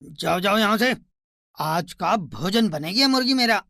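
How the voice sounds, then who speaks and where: A performer voicing a gruff, cruel man with a gravelly voice in a cartoon. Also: medium pitch, animated, a little slow, pushed and bright, dry recording, clean studio sound, full character voice. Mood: threatening. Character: gruff, cruel man eager to eat a hen.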